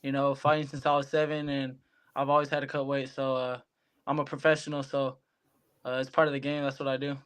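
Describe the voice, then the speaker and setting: A man's voice: mid-range voice, level tone, fairly quick, a bit bright, clean tone. A young American man giving casual interview answers as a guest athlete, in colloquial, laid-back phrasing. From Hawaii, United States. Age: young adult. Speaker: professional boxer, guest.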